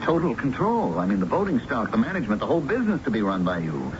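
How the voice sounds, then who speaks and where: A man's voice: mid-range voice, wildly swooping pitch, quick pace. An actor playing an earnest, emphatic male family friend in a radio drama. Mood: incredulous. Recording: dull, noisy old radio broadcast.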